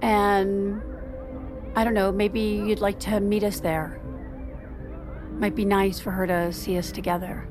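Woman acting as a guarded, strained mom, medium pitch, talks natural and a bit hesitant, audio drama. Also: level tone, measured pace, long pauses, music in the background, lightly acted. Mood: tentative, hopeful. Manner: hesitant, defensive, conversational. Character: guarded, strained wife and mother, deflecting.

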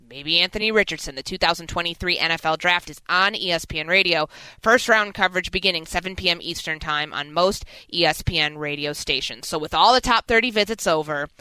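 A woman's voice: lowish voice, bright, forceful tone, clean tone, dry recording, poor audio. A woman hosting a sports radio show, delivering opinions and promos with emphatic energy into a close studio mic. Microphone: close studio broadcast microphone.

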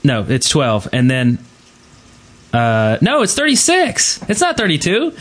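A man's voice: on the high side, huge pitch swings, a little slow. A man hosting a casual group podcast, chatting about a video game in an informal way. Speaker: podcast host.

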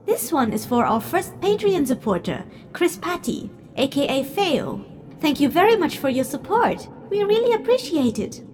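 A very high, swooping female spirit teases mortals with theatrical relish. She is a playfully condescending fortune-teller in an audio drama, heard over faint ambient noise. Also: very animated, normal speed, darkish tone. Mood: mystical, playful. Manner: theatrical, teasing, chuckling. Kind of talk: audio drama acting, direct address to listeners.

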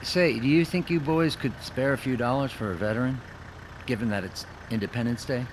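A man's voice: mid-range voice, a little fast, background noise, lightly acted. An actor playing a shifty male drifter in an audio drama, folksy and falsely affable with a taunting menace, music and an engine behind him. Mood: ingratiating. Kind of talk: audio fiction acting.

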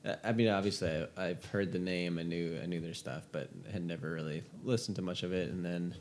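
A man's voice: low voice, steady tone, a little fast, a little mellow. A young man hosting a podcast from home, chatting hesitantly about music with lots of fillers. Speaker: podcast host. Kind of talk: casual music chat.

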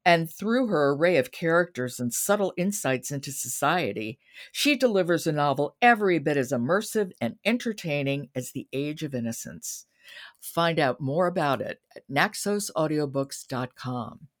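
A woman's voice: low-pitched, lively intonation, normal speed. A woman hosting a podcast about books, moving between conversation and announcements. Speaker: podcast host. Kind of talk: conversational book review chat and announcing.

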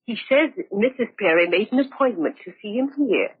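An actress playing a deferential, slightly hesitant household maid in an old-time radio drama. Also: female voice, mid-range voice, lively intonation, fairly quick, slightly rough. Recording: muffled, faintly noisy old radio recording.

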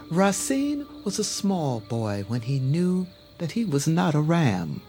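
A man tells a dramatic tale as a scripted narrator. His delivery is slow, measured and literary, at a middling pitch, with faint music underneath. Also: darkish tone.

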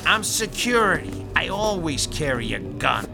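An actor playing a wary, blunt armed security guard in an audio drama. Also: male voice, a little high, big pitch swings, a little slow, gravelly, music in the background, exaggerated voice. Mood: guarded, terse. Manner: terse, blunt.

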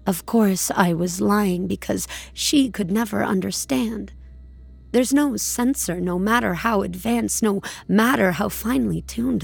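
Haunted female sci-fi colonist, slow measured narration, creaky voice, brooding, uneasy, audio drama. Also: medium pitch, darkish tone, dry recording, full character voice. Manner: measured, deliberate, brooding delivery. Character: haunted, uneasy woman space colonist recalling her journey. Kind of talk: scripted audio drama narration, first-person.

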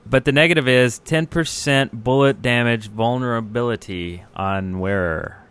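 Man, smooth clear voice, medium pitch, casual gaming podcast co-host. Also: moderate pace, a bit bright.

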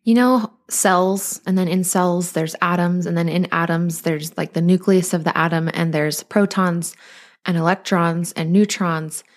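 A woman's voice: fairly low pitch, steady tone, a little slow. A woman in her thirties, a mother and life coach, hosting her own podcast in an earnest, bubbly, chatty way. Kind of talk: casual solo podcast monologue.

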